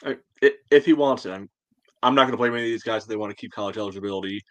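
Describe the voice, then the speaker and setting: A man's voice: lowish voice, very fast, fairly bright tone, no echo. An American man co-hosting a casual sports podcast, talking in a dry, blunt way. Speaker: podcast co-host.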